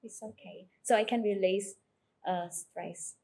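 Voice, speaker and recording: Soft-spoken hesitant woman. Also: mid-range voice, fairly even intonation, unhurried, gravelly, husky. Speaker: volunteer client in a demonstration.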